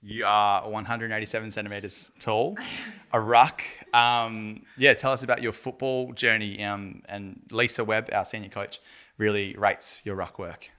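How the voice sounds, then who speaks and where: A man's voice: lowish voice, flat delivery, measured pace, pushed and bright. A man interviewing a newly drafted player for the club's channel, asking friendly, upbeat questions. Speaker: club interviewer.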